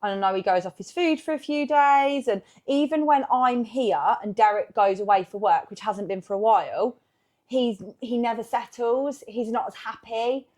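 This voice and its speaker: A woman's voice: a little high, normal speed, a little mellow. Chatty woman co-host. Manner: chatty, frequent fillers. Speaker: podcast co-host. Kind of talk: casual chat.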